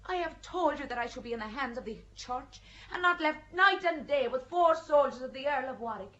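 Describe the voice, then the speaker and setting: A young peasant girl on trial in a radio play, high earnest voice, blunt, a bit muffled. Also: animated, a little fast, fairly bright tone. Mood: defiant, pert. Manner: plain-spoken, earnest, emotional. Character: young French peasant maid, bold, blunt, devout. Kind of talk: audio drama acting of a stage play.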